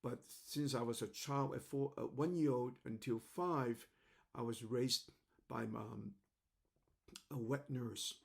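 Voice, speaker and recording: A man in his seventies from China, a native Cantonese speaker, talking to his online audience in a rambling, halting, reflective monologue. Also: mid-range voice, fairly even intonation, creaky. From China (Shanghai and Macau). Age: 70s. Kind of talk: personal video monologue.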